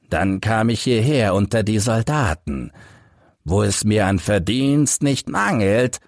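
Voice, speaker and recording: An embittered, broken old veteran, played by a male audiobook reader tells of his suffering in measured, literary sentences. The voice is fairly low and very unhurried, grave in manner, and recorded close and dry. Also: dry recording, full character voice. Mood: bitter, wistful. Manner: solemn, measured, literary delivery. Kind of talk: audiobook first-person storytelling.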